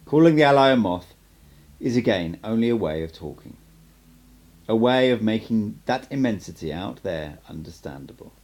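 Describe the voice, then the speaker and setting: Male narrator as patient sorcerer teacher in an audiobook: lowish pitch, animated contours, emphatic, measured, cryptic. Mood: solemn, emphatic.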